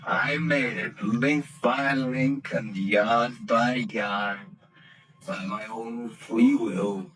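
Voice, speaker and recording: An actor playing the solemn ghost of a dead businessman in a vintage radio play, slow, grave and hushed. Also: mid-range voice, expressive, full character voice. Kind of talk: vintage radio drama acting. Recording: old radio play with music. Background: music.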